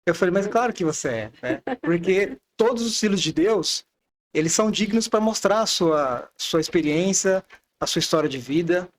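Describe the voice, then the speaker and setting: A man's voice: sits high, lively intonation, normal speed. A man in his twenties hosting a religious podcast, chatty and loose in manner. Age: 20s. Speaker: podcast host. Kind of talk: casual religious podcast chat.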